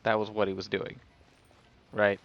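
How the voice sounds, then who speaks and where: A man giving casual, wry live commentary as he streams a video game, in a creaky voice. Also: lowish voice, monotone, normal speed, lo-fi sound. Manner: wry, sarcastic.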